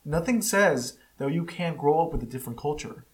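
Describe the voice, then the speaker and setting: A man's voice: on the high side, expressive, brisk, breathy edge. Blunt man with frequent restarts. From United States. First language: Spanish. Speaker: podcast host. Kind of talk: casual opinion monologue. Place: California.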